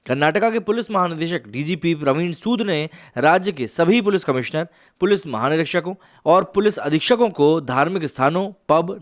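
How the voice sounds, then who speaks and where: A young man reading news reports for his online channel, mostly in formal phrasing with casual asides to the viewers. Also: fairly high pitch. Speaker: news commentator.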